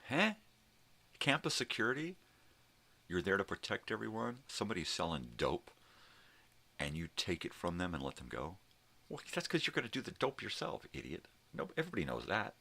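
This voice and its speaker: A middle-aged man with a gravelly voice, rambling casually as he tells stories with swearing and emphatic bursts. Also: sits low, huge pitch swings, fairly quick, pushed and bright. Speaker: host of a daily personal vlog.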